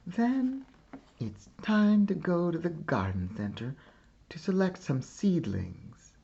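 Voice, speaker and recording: A man reading a children's picture book aloud like a teacher, with deliberate, expressive phrasing and pauses. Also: sits high, gravelly, husky, lo-fi sound. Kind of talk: slow children's storybook read-aloud.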